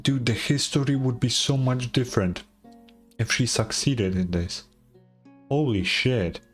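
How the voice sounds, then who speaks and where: A man's voice: medium pitch, unhurried. A tired man giving casual game reaction commentary as a streamer, musing and thinking aloud. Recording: game music behind the voice.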